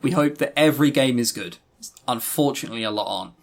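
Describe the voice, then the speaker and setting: A man co-hosting a podcast in casual chat, with a sarcastic, sweary, animated way of talking. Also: mid-range voice, quick pace. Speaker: podcast co-host. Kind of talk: casual chat reviewing visual novels.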